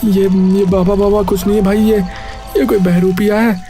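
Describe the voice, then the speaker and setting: A woman's voice: mid-range voice, flat delivery, moderate pace, mellow, lightly acted. A woman voicing an uneasy young villager friend in cartoon story dialogue, with colloquial, repetitive, buddy-like talk. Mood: alarmed. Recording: faint background music.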